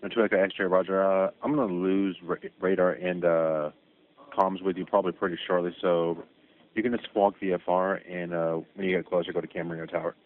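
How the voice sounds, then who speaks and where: Deep, quick male controller on radio. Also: little pitch movement. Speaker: air traffic controller. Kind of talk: casual, procedural radio instructions. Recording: tinny air traffic radio, faint noise.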